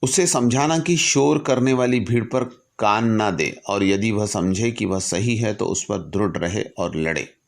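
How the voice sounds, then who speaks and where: A man with a fairly low voice speaks as a storyteller imparting lessons. His delivery is measured and instructive, with deliberate pauses between phrases, in a reflective recitation style. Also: vocal fry. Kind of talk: reflective storytelling, reciting a letter from memory with asides.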